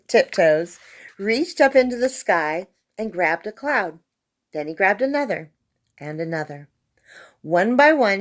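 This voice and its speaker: A woman reading a children's story aloud as narrator, expressively and with dramatic emphasis on key words. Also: medium pitch. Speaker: storybook reader.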